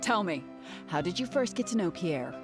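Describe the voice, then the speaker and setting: A woman voicing a determined female officer who gives brisk orders, with game music behind. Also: on the low side, very animated. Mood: stern. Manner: brisk, commanding. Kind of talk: game voice acting.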